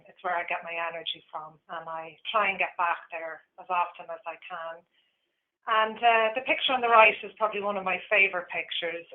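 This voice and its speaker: Irish woman in her 40s, lowish voice, lots of ums, phone-line sound. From Ireland. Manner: warm, hesitant with frequent ums. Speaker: tour operator presenting. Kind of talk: webinar presentation.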